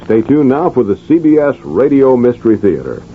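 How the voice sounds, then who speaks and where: A man's voice: medium pitch, big pitch swings, normal speed. A man announcing in a scripted 1970s radio news style. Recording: tinny, faintly noisy old radio broadcast.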